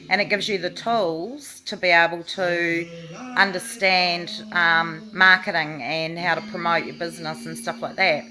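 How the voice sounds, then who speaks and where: A woman's voice: on the low side, bright, forceful tone, poor audio. A woman, talking casually to the camera in a rambling way with many fillers and restarts. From New Zealand. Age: 40s. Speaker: vlogger.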